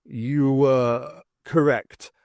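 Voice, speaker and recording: A man voicing a proud, anguished male cat in human form in an audiobook, speaking slowly and hissing through clenched teeth. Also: mid-range voice, fairly even intonation, darkish tone, clear, resonant tone, dry recording, clean studio sound. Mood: anguished, bitter. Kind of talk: audiobook character voice.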